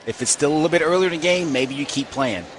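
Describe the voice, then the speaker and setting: A man, a former player, giving relaxed, folksy color commentary on a baseball radio broadcast. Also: fairly high pitch, slight background noise. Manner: folksy, conversational, a few halting restarts.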